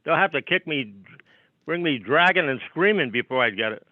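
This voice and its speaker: A man's voice: fairly high pitch, animated, normal speed, no echo. A man in his seventies calling in to a casual chat, talking in a chatty, agreeable way. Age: 70s. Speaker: caller. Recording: dry, muffled lo-fi line.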